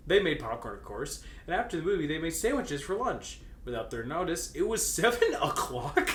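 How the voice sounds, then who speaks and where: A man's voice: fairly high pitch, very animated, normal speed. A man reading fan fiction aloud comedically, theatrical in delivery, with joking asides and a readiness to break into laughter. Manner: theatrical, breaks into laughter, bursts into mock shouting. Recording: roomy echo with clear background noise.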